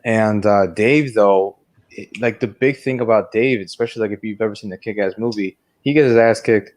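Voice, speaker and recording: Low-pitched man chatting casually about films. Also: moderate pace, a little mellow. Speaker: podcast co-host. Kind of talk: casual film review discussion.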